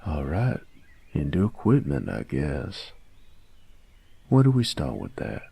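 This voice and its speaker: Man playing a very deep, gravelly young bully. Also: huge pitch swings, warm tone, heavily acted. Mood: casual, curious. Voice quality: gravelly, husky. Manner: slangy, halting, feigned indifference. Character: gruff young male bully, secretly curious about nerdy games. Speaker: voice actor in audio roleplay. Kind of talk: intimate one-sided audio roleplay, casual dialogue.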